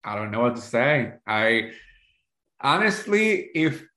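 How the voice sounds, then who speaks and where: A man's voice: mid-range voice, sing-song, takes it slowly, pushed and bright. A man co-hosting a casual, conversational recap chat about television. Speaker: podcast co-host. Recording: roomy, echoing voice recording.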